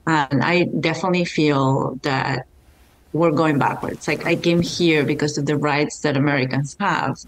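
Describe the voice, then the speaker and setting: A woman's voice: sits low, fairly even intonation, moderate pace, low-quality recording. A woman from Argentina, speaking earnestly as a panel guest over a video call from home. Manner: earnest, with fillers. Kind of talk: live panel discussion. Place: home room.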